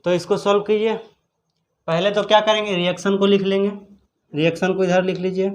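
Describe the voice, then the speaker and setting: A man's voice: high voice, flat delivery, brisk. A man teaching chemistry step by step as an instructor, repeating his points for students. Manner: repetitive, instructive. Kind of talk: step-by-step exam problem lesson.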